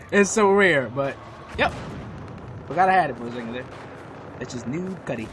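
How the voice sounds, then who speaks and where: A young man giving casual, profanity-laced commentary over his own console shooter gameplay, chatty and quick to laugh at himself. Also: mid-range voice, expressive, sharp tone, a little hiss or hum. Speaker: gaming YouTuber.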